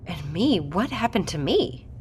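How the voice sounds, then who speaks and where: A woman's voice: on the low side, sing-song, measured pace, a little hiss or hum. A woman playing a direct, questioning doctor and project leader in an audio drama. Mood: shocked, probing.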